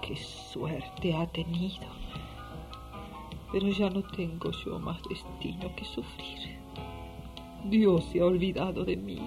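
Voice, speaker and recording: A slow, lowish-voiced old woman, ill and weary of living for a radio drama. She laments with worn-out despair. Music plays clearly behind her. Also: fairly even intonation. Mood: sorrowful, weary. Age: older. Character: sick, despairing old grandmother longing to die. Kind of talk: Spanish-language radio drama acting.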